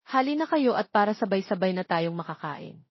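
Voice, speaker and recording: A woman's voice: mid-range voice, big pitch swings, a little fast, breathy edge, no room sound, dull audio. A woman voicing an affectionate, soothing mother of young twins in an audio romance story. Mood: tender, reassuring.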